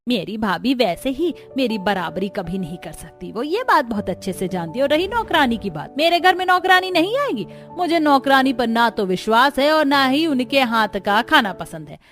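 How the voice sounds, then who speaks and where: A woman playing a middle-aged cartoon mother-in-law with a high voice. She is proud and quarrelsome, scolding everyone with indignant emphasis. Music plays behind her. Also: sing-song, normal speed, few pauses, a bit bright. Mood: proud, firm. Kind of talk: Hindi cartoon story dialogue.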